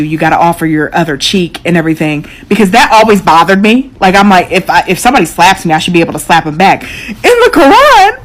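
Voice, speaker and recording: A young American woman chatting casually to camera in an expressive, colloquial, slangy way. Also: medium pitch. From United States. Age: young adult. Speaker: social media video creator.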